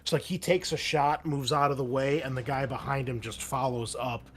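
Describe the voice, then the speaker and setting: A man's voice: medium pitch, steady tone, normal speed. A man running a tabletop roleplaying game as game master, narrating combat vividly in a loose, casual way.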